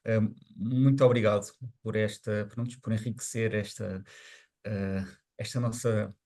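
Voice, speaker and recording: Low-voiced man hosting, halting. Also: fairly even intonation, dark tone. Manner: halting, many restarts and fillers, chuckles. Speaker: event host. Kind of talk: hosting a webinar.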